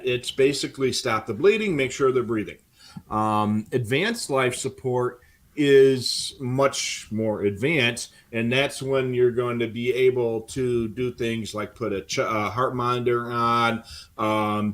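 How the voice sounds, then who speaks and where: An older man explaining things in casual, halting conversation as a co-host. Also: mid-range voice, fairly bright tone. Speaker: co-host and former firefighter. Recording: noticeable background noise.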